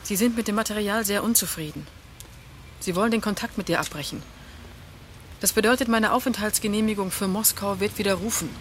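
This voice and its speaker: A woman acting a desperate mother in a radio play. She has a medium-pitched voice and an urgent, insistent delivery, with faint noise behind her. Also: fairly quick, lightly acted. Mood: bitter despair. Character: desperate, insistent Russian mother fighting for her sick son. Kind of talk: German radio drama acting.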